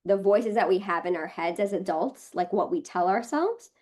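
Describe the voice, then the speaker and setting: A woman's voice: medium pitch, expressive, moderate pace, a little mellow. A woman with an American accent, a guest talking casually on a podcast with many fillers. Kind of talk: casual conversation.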